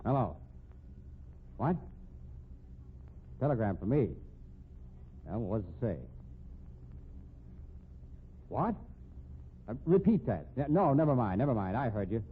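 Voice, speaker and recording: Exasperated male police captain, 1950s radio drama. Slangy, needling, very lively, thin and noisy old sound. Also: mid-range voice, slow, long pauses, phone-quality sound.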